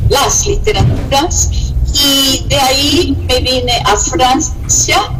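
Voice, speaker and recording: A middle-aged Brazilian woman speaking with a Brazilian Portuguese accent, her voice sitting a little high. She talks very slowly, pausing often as she reflects. A faint hiss of noise runs under her voice. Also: low-quality recording. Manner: slow and reflective, listing works with many pauses. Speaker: writer. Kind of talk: interview answers.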